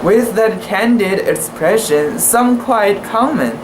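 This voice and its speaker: Unhurried delivery, mid-pitched voice, careful and halting amateur literary reading, some background noise. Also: animated. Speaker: amateur reader. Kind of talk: dramatic reading of a literary short story.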